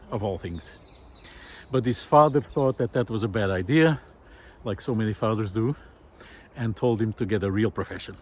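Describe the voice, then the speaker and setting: Guy doing a loose reflective monologue, mid pitch, kinda halting, pauses to let stuff land. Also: darkish tone, slight background noise. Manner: thoughtful, halting, with deliberate pauses for emphasis. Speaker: host of a personal audio series.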